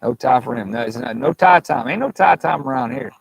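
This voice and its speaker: A man's voice: medium pitch, lively intonation, a little fast, creaky, slightly rough, dry recording. A man hosting a casual live stream from a campsite, rambling and joking with viewers over a clip-on mic. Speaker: livestream host. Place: outdoors at a campsite. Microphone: clip-on mic with fuzzy windscreen.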